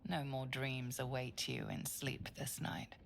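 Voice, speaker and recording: Game role: grave ominous woman, extremely deep and gravelly, faint ambience behind. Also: little pitch movement, a little slow, a little hiss or hum, exaggerated voice. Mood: hushed solemnity. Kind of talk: video game character acting.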